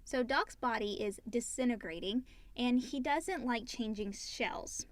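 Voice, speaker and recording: Female co-host, fairly high voice, informal question-and-answer podcast delivery. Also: a little slow, dry recording. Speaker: co-host reading listener questions. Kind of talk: casual podcast chat.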